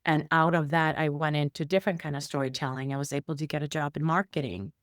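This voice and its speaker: A woman's voice: deep voice, fairly even intonation, fast, no room sound, clean studio sound. A middle-aged woman from Iceland, a guest telling her life story in a rambling way with many fillers and restarts. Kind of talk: conversational interview storytelling.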